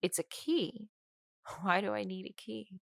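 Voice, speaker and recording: A woman's voice: mid-range voice, measured pace, gravelly, fairly bright tone, dry recording, clean studio sound. A woman playing a confused, frightened captive in an audio drama, hesitant and full of questions. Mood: bewildered.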